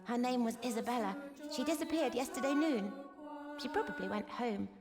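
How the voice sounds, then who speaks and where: An actress voicing an eager, naive young novice nun in a video game, speaking in a hesitant, earnest, apologetic way. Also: female voice, fairly high pitch, lively intonation, brisk. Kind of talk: voiced video game dialogue.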